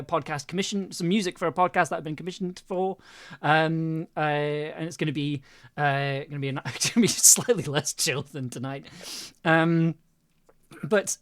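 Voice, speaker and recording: A halting but enthusiastic host chatting casually on a livestream. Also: mid-range voice, a bit bright, clean tone, dry recording.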